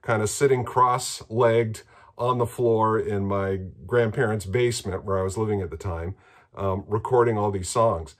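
A man, explaining things in a casual, halting vlog style at home. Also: on the low side, steady tone. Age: 60s. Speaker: musician and presenter. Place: small rooms in a home.

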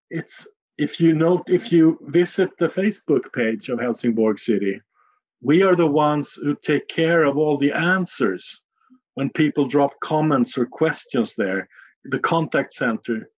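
A man with a Stockholm Swedish accent speaks English as a second language, answering questions in a measured, plain-spoken way. His voice sits a little high for a man, and the recording sounds slightly dull and muffled. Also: expressive. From Sweden. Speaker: guest, communications manager. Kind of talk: podcast interview answers.

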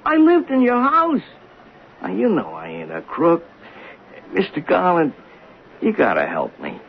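A man's voice: sits high, huge pitch swings, unhurried, creaky, breathy edge, full character voice. An actor playing a nervous, pleading working-class barman who stammers defensively in a 1970s radio drama. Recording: tinny, hissy 1970s radio recording.

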